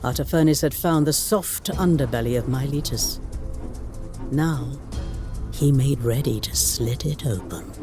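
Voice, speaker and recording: A man's voice: medium pitch, a little slow, lightly acted. Man acting a grave, theatrical ancient war chronicler. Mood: solemn, dramatic. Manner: grave, theatrical storyteller with rhetorical flourishes. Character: male ancient chronicler recounting war with solemn relish. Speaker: narrator of game cutscenes. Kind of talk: dramatic scripted historical narration. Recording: studio voice-over under prominent music.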